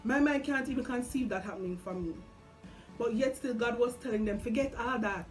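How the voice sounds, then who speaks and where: High-voiced Jamaican woman over background music. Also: fairly quick. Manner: expressive, slipping between English and Patois. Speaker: vlog host. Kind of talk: casual faith chat, retelling and reading scripture. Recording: music behind the voice.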